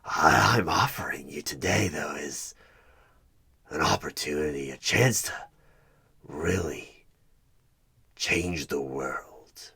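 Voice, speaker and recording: Sinister devil-as-recruiter for horror ASMR: extremely low, husky and breathy, menacingly persuasive, often sinking into whispers. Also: male voice, sharp tone, full character voice. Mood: hushed, menacing. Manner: slow, menacing, persuasive, drawn-out pauses. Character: sinister devilish male tempter recruiting as job interviewer. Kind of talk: horror ASMR one-sided roleplay.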